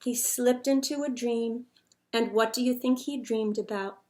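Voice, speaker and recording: A woman reading a picture book aloud to children in an expressive, dramatic storyteller's manner. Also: a little high, unhurried.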